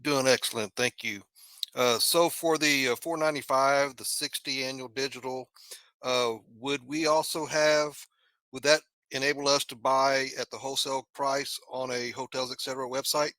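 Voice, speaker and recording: A man's voice: medium pitch, steady tone, moderate pace, bright, forceful tone, no room sound. A man from Texas calling in to a conference call, asking questions politely with hesitant phrasing.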